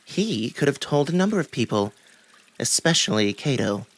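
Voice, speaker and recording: A man's voice: medium pitch, very animated, moderate pace. A man voicing a sly, wounded male cat in a visual novel, haughty and evasive, with formal, indignant phrasing. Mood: evasive, defensive. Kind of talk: visual novel character acting.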